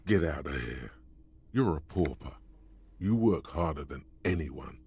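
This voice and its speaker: A game's inner narrator with a very deep, husky voice. He sounds wry and goading as he needles the player with close, confiding remarks. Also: lively intonation, unhurried, darkish tone, full character voice. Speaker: game narrator voice. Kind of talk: scripted game narration.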